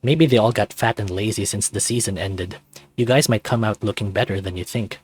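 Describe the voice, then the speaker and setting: A man's voice: fairly low pitch, a little fast, slightly rough, soft background music. A man voicing a laid-back, teasing teenage best friend in a visual novel, casual and playful.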